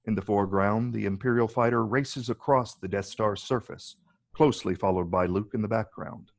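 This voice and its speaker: A man with a gravelly, husky voice reading screenplay stage directions as scripted narration. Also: lowish voice, normal speed.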